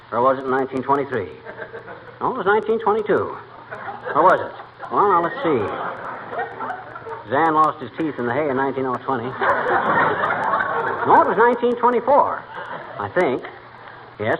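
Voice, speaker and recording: A man's voice: a little high, very animated, moderate pace, long pauses. A man playing a boastful middle-aged husband who rambles through folksy tall sailing yarns in 1940s radio comedy style. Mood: rambling, self-assured. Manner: blustering, rambling, interrupts with folksy grammar. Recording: muffled, hissy old radio broadcast.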